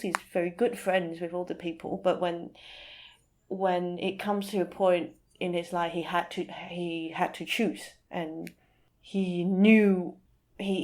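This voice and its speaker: A woman speaking as a podcast guest, giving thoughtful conversational answers in a halting way as she searches for words. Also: on the low side, a little mellow.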